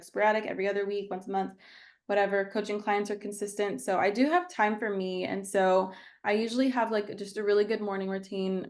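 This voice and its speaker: A young woman, a guest therapist chatting casually and filling her talk with "like". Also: medium pitch. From Texas. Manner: chatty, frequent "like" fillers. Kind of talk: casual podcast chat.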